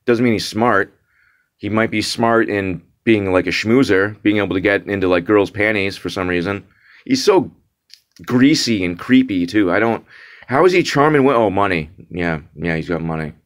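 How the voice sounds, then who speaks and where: Young man, lowish voice, vlog rant: exasperated, mocking, stop-start delivery with lots of repetition. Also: moderate pace. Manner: exasperated, mocking, repeats words for emphasis; frequent restarts and fillers. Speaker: vlogger. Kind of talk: casual rant to camera.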